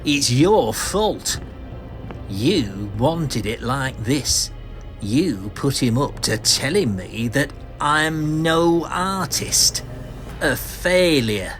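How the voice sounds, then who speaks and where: Wildly swinging, deranged vengeful painter. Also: male voice, medium pitch, expressive, very slow, fairly bright tone, soft background music. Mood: bitter rage. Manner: menacing, ranting, theatrical. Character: deranged, vengeful failed painter, murderous man. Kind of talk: melodramatic audio comic acting.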